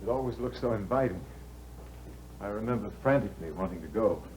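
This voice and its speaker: An actor playing an exhausted, haunted husband and novelist who speaks in a weary, brooding way with sudden outbursts, in a 1950s radio drama. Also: male voice, fairly low pitch, lively intonation, a little fast, darkish tone, natural voice. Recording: dull 1950s radio broadcast with faint hum.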